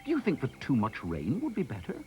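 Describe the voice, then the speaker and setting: A man's voice: medium pitch, huge pitch swings, a little fast, muffled recording, faint music behind, pitched above the performer's own voice. A man voicing a haughty, aloof wooden weather-house woman who talks in contrary questions, in a children's storybook.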